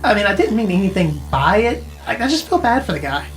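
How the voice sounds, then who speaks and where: A woman's voice: medium pitch, big pitch swings, fast, a little hiss or hum, lightly acted. An actress playing a tech-savvy woman who explains things informally and hedges as she goes, in naturalistic audio drama dialogue. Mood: sympathetic, hedging. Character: tech-savvy, casual woman who knows retro arcade games. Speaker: character in a mystery audio drama.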